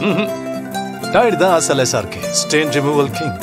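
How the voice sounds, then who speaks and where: A woman's voice: low voice, lively intonation, fast, over music. A woman playing a confident mother in a television detergent commercial.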